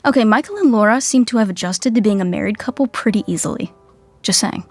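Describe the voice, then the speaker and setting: A woman's voice: mid-range voice, fast, background noise, natural voice. A woman playing the narrator of a sitcom podcast, wry and conversational, full of asides. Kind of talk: scripted comedic narration.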